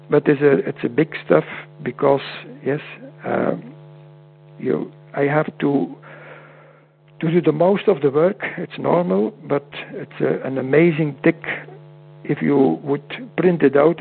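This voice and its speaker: A man with a Dutch accent whose first language is Dutch, speaking as a guest in an interview over a call, halting and often repeating words. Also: on the high side, fairly even intonation, creaky, slightly rough. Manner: halting, repeats words, non-native phrasing. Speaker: guest homeopath. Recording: tinny phone-like line with hum.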